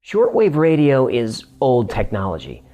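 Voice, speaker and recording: A man's voice: medium pitch, huge pitch swings, a little slow, dark tone. A man hosting a scripted explainer, narrating it in a conversational way with room for comic banter. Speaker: host.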